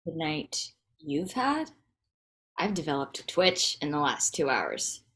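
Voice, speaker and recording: A woman's voice: low-pitched, big pitch swings, slow pace, fairly bright tone, exaggerated voice. A woman playing a frazzled, firm young wife and mother of toddlers in a comedy table read, speaking in a dry, weary conversational way.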